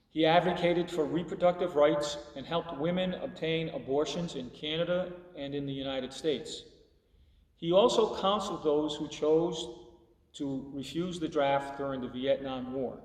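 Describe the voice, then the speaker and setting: A man reading a scripted tribute to a congregation in a measured way, pausing between phrases. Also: a little high, a little mellow. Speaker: church service reader. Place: large church hall. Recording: echoey hall, distant microphone, long reverb.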